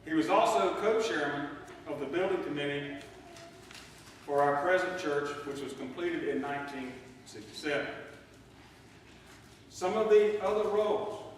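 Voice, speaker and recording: A middle-aged man reading a memorial eulogy in a measured, deliberate way in a church. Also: a little high. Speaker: eulogist, son of the deceased. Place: church sanctuary. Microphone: distant microphone in a large room. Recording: distant mic, echoey hall, faint noise.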